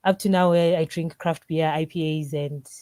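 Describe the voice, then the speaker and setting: A woman's voice: deep voice, steady tone, normal speed, mellow, dry recording. A woman from Zimbabwe with a Zimbabwean accent, answering casually as an interview guest. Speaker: interview guest, craft brewer.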